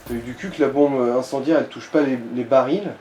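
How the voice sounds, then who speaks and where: A man's voice: medium pitch, quick pace, mellow, recorded from a distance. A man chatting casually as a laid-back gaming co-commentator, using crude slang. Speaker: let's play co-commentator advising the player. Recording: roomy echo, clear background noise.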